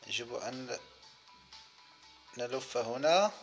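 A man hosting a children's gaming video, giving simple commentary in short, clear-cut phrases for young viewers. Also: mid-range voice, expressive, slow, faint music behind. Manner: counts aloud, speaks in short simple phrases.

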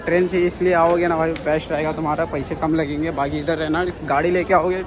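Chatty vlogger walking city streets. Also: male voice, on the high side, flat delivery, fast talker, a crowd in the background. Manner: chatty, keeps saying brother and guys. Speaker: moto vlogger. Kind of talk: casual walking vlog to viewers. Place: busy city streets and a station outdoors. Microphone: action camera carried while walking. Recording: loud music over street noise.

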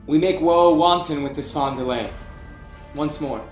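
A man's voice: a little high, echoey room, soft background music, close to an everyday voice. A man playing a grieving deposed king. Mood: sorrowful. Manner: slow, mournful verse with sharp swells. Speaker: actor in a staged reading. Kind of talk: Shakespeare verse reading. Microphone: webcam on a video call.

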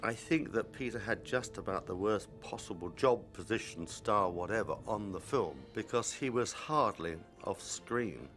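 A man in an interview, recalling work on a film set in a measured, matter-of-fact way. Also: lowish voice, expressive, fairly bright tone, soft background music. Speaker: film crew member interviewed. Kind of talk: reminiscing interview.

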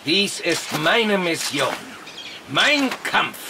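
An actor playing a gloating, fanatical villain in a German audio drama, menacing and mocking. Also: male voice, a little high, big pitch swings, very slow, noisy background, exaggerated voice. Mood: fanatical, commanding. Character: gloating male villain commanding zombies, theatrical and fanatical.

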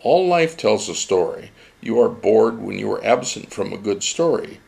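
A man's voice: lowish voice, lively intonation, normal speed, gravelly, a little mellow. A man voicing a mysterious spirit teacher that takes a child's form, speaking bluntly and instructively.

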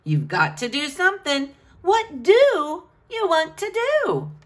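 A woman's voice: high voice, wildly swooping pitch, slow. A woman reading a picture book aloud to children, with a sing-song, questioning delivery.

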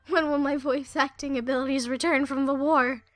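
A woman's voice: high voice, fairly even intonation, normal speed, dry recording, faint music behind. Goofy, dry-recorded woman's voice. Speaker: amateur voice actor in a fan audio drama. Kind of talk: line readings with joking asides.

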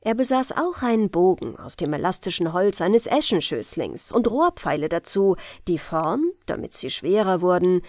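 A woman with a German accent reading a fairy tale aloud in a calm, gentle bedtime style, in a lilting storyteller manner. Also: mid-range voice, no room sound, clean studio sound. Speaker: audiobook reader.

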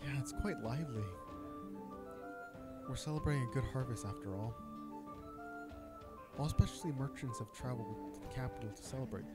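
A man's voice: mid-range voice, fairly quick, pauses often, loud music behind the voice, natural voice. A man playing an earnest royal knight and bodyguard in a romantic audio roleplay, speaking formally and hesitantly to his princess. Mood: gentle, reserved. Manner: earnest, hesitant, stammering, formal courtly address. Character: young male knight, protective royal bodyguard, earnest and flustered. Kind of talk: romantic audio roleplay acting, addressing the listener.

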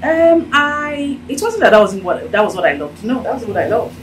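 A woman's voice: a little high, normal speed, recorded from a distance, a little hiss or hum, poor audio. Nigerian woman talking from across a room. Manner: animated, gesturing while talking. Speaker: interviewee, business owner. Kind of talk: casual interview talk. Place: indoor office with sofa. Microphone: handheld phone held out by interviewer.

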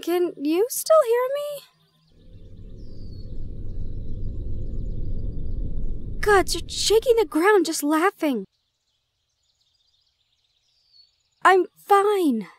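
A woman's voice: extremely high pitch, expressive, slow, exaggerated voice. A woman playing a young gorgon in an audio roleplay, shy and flustered but playfully blunt. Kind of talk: roleplay audio drama acting.

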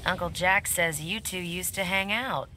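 A young female soldier played by a woman, lowish in pitch and self-assured, talks in an informal way. It is game dialogue with loud noise behind. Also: measured pace, close to an everyday voice. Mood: cocky. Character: confident young American female soldier and champion. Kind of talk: video game voice acting.

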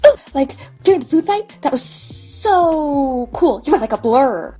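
An actress playing an excitable, boisterous young huntress girl with a husky voice in an audio drama. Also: high voice, measured pace, soft background music. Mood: excited.

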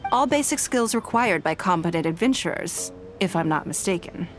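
An actress playing a poised, wealthy noblewoman in a game, speaking in a measured, formal and gracious way. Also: on the low side, expressive, soft background music, natural voice. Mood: composed. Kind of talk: game voice acting.

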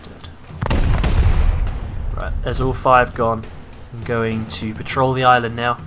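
A man giving casual gameplay commentary, his voice a little raspy from hours of talking, excitable and swearing freely. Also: mid-range voice, takes it slowly, over music. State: slightly raspy from long talking. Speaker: gaming YouTuber.

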